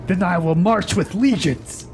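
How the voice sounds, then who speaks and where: An actor playing a defiant, hot-headed lad in a fantasy radio drama, husky and challenging in delivery. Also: mid-range voice, a little slow, darkish tone, slight background noise, lightly acted. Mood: scornful, stubborn. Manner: challenging, impetuous, declamatory.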